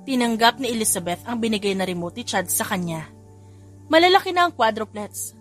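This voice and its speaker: Steady female audiobook narrator. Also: fairly high pitch, a little fast, faint music behind. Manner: steady storytelling, reading quotes and tags.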